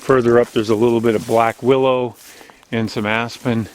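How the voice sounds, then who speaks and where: A man with a strong, loud voice hosting a walking video outdoors on an overgrown logging road, chatty and rambling as he narrates, recorded on a handheld camera. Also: mid-range voice, level tone, normal speed, clear, resonant tone, low-quality recording. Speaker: channel host. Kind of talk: casual walking vlog narration. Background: faint outdoor nature ambience.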